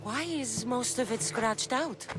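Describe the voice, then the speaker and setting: A woman's voice: on the high side, slow pace. An actress playing a determined young Greek warrior woman in a video game, blunt, impatient and dryly wry. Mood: wry, skeptical. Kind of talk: video game character dialogue. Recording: music under the dialogue.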